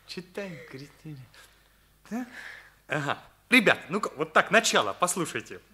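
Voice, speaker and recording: An actor playing a witty, cocky teenage schoolboy in a Soviet radio play, with a theatrical, declaiming manner. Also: male voice, a little high, sing-song, moderate pace, crowd noise. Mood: playful, declaiming.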